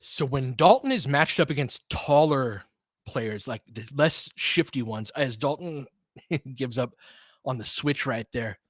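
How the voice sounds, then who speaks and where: A man's voice: mid-range voice, fairly bright tone, no echo. Man calling basketball in a dry recording. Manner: conversational, analytical fan talk with mild slang. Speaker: play-by-play commentator and host. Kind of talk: casual live basketball commentary, chatting with listeners.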